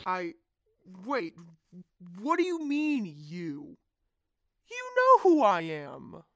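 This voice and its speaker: A man's voice: high-pitched, wildly swooping pitch, drawn-out words, vocal fry, pushed and bright, dry recording, voice pushed up, heavily acted. A man voicing a pompous, theatrical supervillain who is easily affronted, declaiming and sputtering in an audio roleplay. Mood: indignant, pompous. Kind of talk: audio roleplay villain acting.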